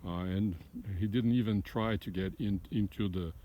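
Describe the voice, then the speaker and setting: A man's voice: lowish voice, fairly even intonation, normal speed, dark tone, a little hiss or hum. A man from Brazil with a Brazilian Portuguese accent, talking in a hesitant, filler-heavy way while showing his backyard beehives outdoors. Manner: hesitant, many "uh" fillers and restarts. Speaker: beekeeper, channel host. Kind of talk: casual walkthrough vlog. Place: outdoors in a wooded backyard apiary.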